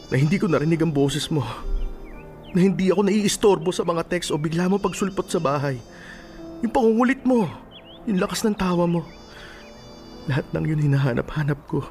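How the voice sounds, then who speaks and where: A man plays a remorseful Filipino lover in an emotional radio drama. His voice sits fairly high and crackles with fry as he pleads haltingly and earnestly, over soft music. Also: close to an everyday voice. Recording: music under the dialogue.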